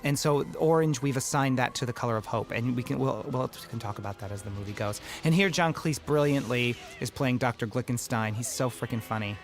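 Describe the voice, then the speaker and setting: A man with a fairly high speaking voice talks over his film as its director. He is eager and chatty, full of fillers and restarts, his pitch sitting in the middle for a man. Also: brisk, hardly any pauses, faint music behind. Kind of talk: audio commentary chat.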